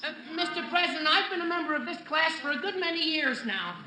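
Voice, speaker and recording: Earnest schoolboy, timid, old-time radio comedy, echoey, heavy noise. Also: male voice, extremely high pitch, quick pace. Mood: timid complaint. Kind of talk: 1940s radio comedy acting.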